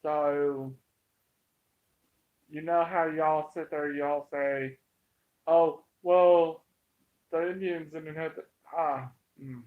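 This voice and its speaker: An American man giving an informal, opinionated monologue to viewers, emphatic and halting, repeating himself to drive points home. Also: a little high, steady tone. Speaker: online commentator. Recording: dull, muffled lo-fi sound.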